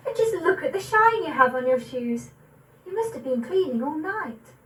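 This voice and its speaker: Young woman as high-voiced, curious little granddaughter. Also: big pitch swings, normal speed, a bit bright, echoey room, distant mic, low-quality recording. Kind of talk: dramatic poetry recital.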